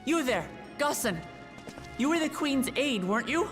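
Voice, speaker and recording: An earnest female leader in a game cutscene, her fairly high voice swinging expressively. She speaks slowly and directly, in long reverb. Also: expressive. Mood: bewildered. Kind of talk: scripted video game cutscene acting. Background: prominent background music.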